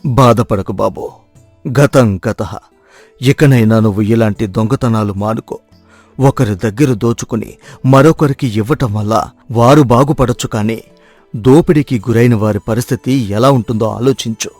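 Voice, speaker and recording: A man's voice: medium pitch, normal speed, darkish tone, no echo, soft background music, voice pushed down, natural voice. A man voicing a grave, wounded old father who admonishes in a dramatized moral story. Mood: calm, advising.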